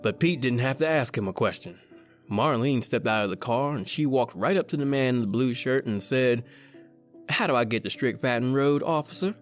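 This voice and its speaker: A man's voice: mid-range voice, normal speed, soft background music, lightly acted. A man with an American accent playing a cocky, slangy small-time crook in an old-time radio horror drama. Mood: uneasy, reminiscing. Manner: slangy, colloquial, conversational. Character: cocky wisecracking small-time male crook telling his own eerie tale. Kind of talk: old-time radio horror acting, first-person narration and dialogue.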